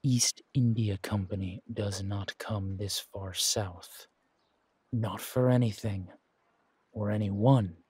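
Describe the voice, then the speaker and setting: A man playing a menacing, wry pirate captain in a roleplay audio, speaking in a taunting, deliberate drawl with dramatic pauses. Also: on the low side, fairly even intonation, darkish tone, slightly rough, dry recording, full character voice. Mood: stern, probing. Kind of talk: scripted roleplay audio acting.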